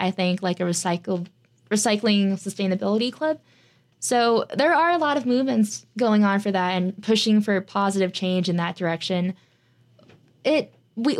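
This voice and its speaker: A young American woman, a student, answering interview questions in a chatty way with many filler words. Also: mid-range voice. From United States. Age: young adult. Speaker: student guest.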